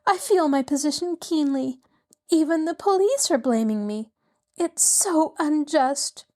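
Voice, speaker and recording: A woman's voice: high voice, animated, unhurried, a little mellow, voice pushed up. A woman voicing a genteel middle-aged lady in distress who pleads breathlessly and near tears. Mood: distressed, tearful.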